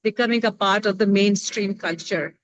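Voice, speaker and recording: A middle-aged woman with an Indian accent speaking on a panel discussion, thoughtful and pausing often to search for words with many fillers. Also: mid-range voice, no echo, low-quality recording. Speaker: roundtable panelist.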